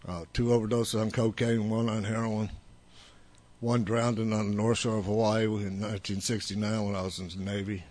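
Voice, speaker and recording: An older man in his seventies, with a fairly low voice, gives a personal testimony to a listening crowd. He talks bluntly and loosely, circling back and restarting his sentences as he goes. His first language was Italian. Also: flat delivery, normal speed, a little mellow. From United States. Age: 70s. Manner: rambling, blunt storytelling with restarts. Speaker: speaker sharing his recovery story.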